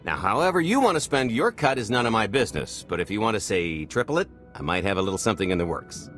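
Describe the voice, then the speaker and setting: An actor playing a cocky, wisecracking space smuggler in a video game cutscene, breezy and sardonic. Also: male voice, on the low side, sing-song, fast, a bit bright, soft background music. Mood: sardonic, persuasive. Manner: breezy, sardonic, charming swagger.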